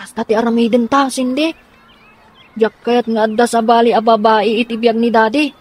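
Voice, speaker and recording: A woman's voice: fairly high pitch, flat delivery, moderate pace, natural voice. A woman playing a determined, scheming young daughter in a radio drama, speaking earnestly and insistently. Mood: troubled.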